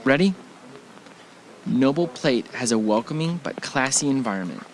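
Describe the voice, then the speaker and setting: A man's voice: medium pitch, animated, moderate pace, close to an everyday voice, poor audio. An easygoing husband on holiday in a conversational radio play, acted by a man. His mid-range voice is casual and affectionate, with a joking manner and faint noise in the background. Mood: presenting. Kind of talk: audio drama acting, conversational.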